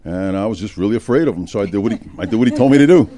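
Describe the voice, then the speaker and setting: A man on a panel telling how he got into acting, modest and halting, with small chuckles. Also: on the low side, expressive, dark tone. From United States. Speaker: actor on a panel.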